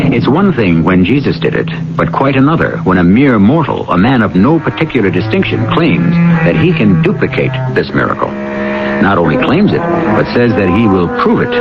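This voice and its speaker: A man hosting a radio drama with scripted, theatrical and deliberate narration. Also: sits low. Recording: dull old radio broadcast. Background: music.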